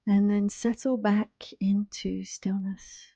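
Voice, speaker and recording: A woman guiding a yoga nidra relaxation in a soothing, hushed, unhurried manner, close to the microphone. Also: medium pitch, little pitch movement, creaky, no echo, dull audio. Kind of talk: guided relaxation meditation.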